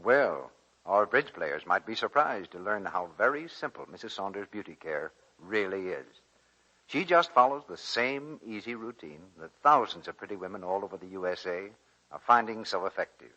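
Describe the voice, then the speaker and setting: A male radio announcer from the 1940s with a low but bright, forceful voice. He delivers sponsor patter in a salesman's style on a dull, slightly rough vintage recording. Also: expressive, moderate pace.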